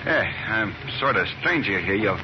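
An actor playing a folksy, shifty retired crook in a 1950s radio drama, hesitant in delivery. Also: male voice, on the low side, animated, moderate pace, natural voice. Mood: genial, evasive. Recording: noisy, muffled 1950s radio recording.

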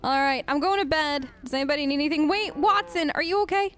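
A woman's voice: sits high, fast, a bit bright, soft background music. A woman hosting a role-play let's play, chatting casually in character and musing aloud as she goes. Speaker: let's play host, role-playing her game character. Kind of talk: casual in-character game commentary.

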